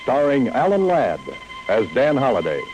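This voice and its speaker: A man's voice: medium pitch, big pitch swings, moderate pace, highs cut off. A male announcer delivering a dramatic 1940s radio programme introduction. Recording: vintage radio recording, loud music.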